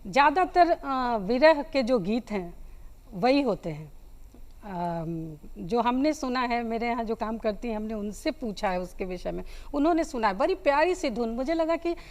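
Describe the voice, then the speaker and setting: A woman with a Bihari accent, explaining folk songs as an interview guest in a gentle way with hesitant pauses. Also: fairly high pitch, expressive. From Magadh region of Bihar, India.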